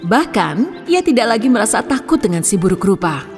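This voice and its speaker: A woman's voice: medium pitch, sing-song, brisk, darkish tone, long reverb tail, faint music behind. A woman narrating a scripted fairy tale for children as storyteller.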